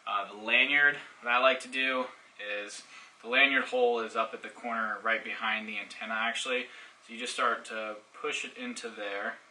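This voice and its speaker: A man's voice: mid-range voice, fairly even intonation, moderate pace, sharp tone. A man giving a casual unboxing review and hands-on demonstration, explaining things informally step by step. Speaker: product reviewer. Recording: distant mic, faint background noise.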